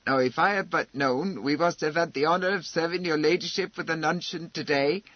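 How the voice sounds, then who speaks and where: A man's voice: on the high side, fairly quick, no echo, muffled recording, natural voice. A man doing a deferential innkeeper. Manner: deferential and eager to please. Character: obliging, deferential male innkeeper.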